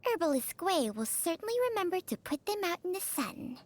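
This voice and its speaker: An actress voicing a small snake companion in a game, speaking in dry, slow remarks. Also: female voice, extremely high pitch, very animated, dry recording. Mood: reassuring. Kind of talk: game voice lines.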